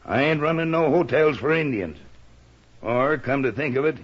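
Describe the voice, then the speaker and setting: An actor playing a blunt, bigoted American frontier hotel keeper in a 1950s radio western. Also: male voice, mid-range voice, animated, moderate pace, natural voice. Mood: hostile, scornful. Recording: dull old radio recording.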